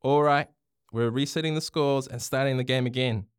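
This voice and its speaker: A man voicing a big, smug, hot-tempered schoolboy bully in a storybook, loud and domineering. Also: medium pitch, fairly even intonation, takes it slowly, smooth, natural voice. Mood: bossy, threatening.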